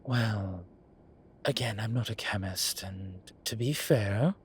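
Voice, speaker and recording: A man playing a flirtatious, cheeky incubus demon in an audio roleplay, talking in a teasing, suggestive way with drawn-out pauses. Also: fairly low pitch, a little hiss or hum. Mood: defensive bickering. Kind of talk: audio roleplay acting, one-sided conversation.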